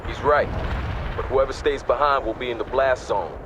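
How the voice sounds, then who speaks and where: An actor playing a sober, cautious army officer, a respectful subordinate in a video game cutscene. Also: male voice, mid-range voice, fairly even intonation, normal speed, noisy background, natural voice. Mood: grave.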